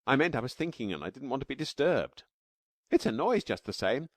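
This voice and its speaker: A man voicing an eager, chattering little cave girl with a high, squeaky voice. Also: big pitch swings, a little fast, dry recording, clean studio sound.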